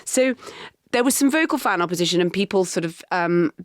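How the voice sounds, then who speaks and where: A woman co-hosting a podcast in casual chat. She talks in animated bursts, full of restarts and quick asides. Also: on the low side, no room sound, clean studio sound. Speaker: podcast co-host.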